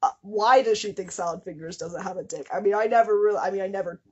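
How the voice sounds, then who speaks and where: A woman's voice: mid-range voice, fast, darkish tone, slightly rough, highs cut off. A woman hosting a comedy show, reading fan fiction dramatically with incredulous, giggly asides. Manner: breaks into giggles and incredulous commentary.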